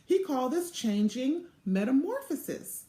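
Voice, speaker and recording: A middle-aged woman reading a children's storybook aloud in an expressive, deliberate way. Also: sits high. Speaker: literacy program co-chair reading aloud. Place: small indoor office or home room. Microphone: device mic at arm's length. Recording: echoey room sound.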